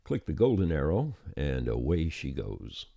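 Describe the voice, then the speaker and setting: A man with an extremely low, rumbling voice presents a software tutorial. His delivery is mellow yet lively in intonation, with folksy, informal turns of phrase. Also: measured pace, gravelly, dry recording. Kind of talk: scripted software tutorial narration.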